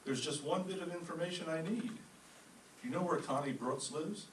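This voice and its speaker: A man playing a brisk, courteous department store owner in a radio comedy. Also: fairly high pitch, close to an everyday voice. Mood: eager, friendly. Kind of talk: old-time radio comedy acting. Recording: echoey room, distant mic, faint noise.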